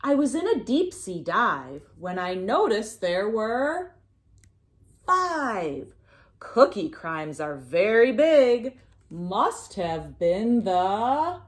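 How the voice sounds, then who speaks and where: Animated, slow-paced woman reading to children. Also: mid-range voice. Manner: animated, sing-song, pausing to prompt listeners. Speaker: read-aloud storyteller for young children. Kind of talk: expressive picture-book read-aloud with chanting refrain.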